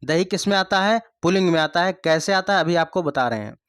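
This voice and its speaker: High-voiced male Hindi tutor, repetitive. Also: expressive, brisk, a bit bright, clean tone, dry recording, studio quality. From India. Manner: repeats points, asks the class rhetorical questions. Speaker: exam coaching teacher. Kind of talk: online Hindi grammar lesson.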